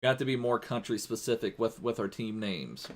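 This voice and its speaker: A man's voice: on the low side, lively intonation, a little fast. A man streaming a football video game live, chatting casually in a rambling way and thanking viewers as he plays.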